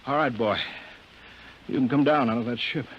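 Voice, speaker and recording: An American man acting a steady, weary man in charge at a rocket base, terse and grave, in 1950s radio drama style. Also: mid-range voice, moderate pace, dull audio, natural voice. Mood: reassuring. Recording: noisy 1950 radio broadcast recording.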